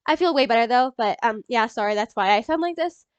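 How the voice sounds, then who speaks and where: A young woman with a stuffy nose from a cold, rambling excitedly in a casual movie reaction vlog, full of ums and little laughs. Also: on the high side, fast talker, no room sound, muffled recording. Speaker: YouTuber.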